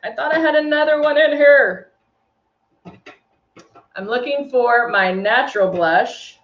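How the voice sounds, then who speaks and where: A woman's voice: sits high, measured pace. A woman with a Texan accent, presenting a live makeup tutorial and chatting with viewers' comments as she works. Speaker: beauty brand presenter. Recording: echoey room, dull lo-fi stream audio.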